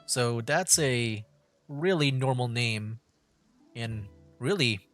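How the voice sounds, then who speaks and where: A man's voice: mid-range voice, animated, slow, fairly bright tone, faint music behind. Rambling young male game streamer. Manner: rambling, heavy use of "like", restarts, laughs. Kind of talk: casual gameplay commentary.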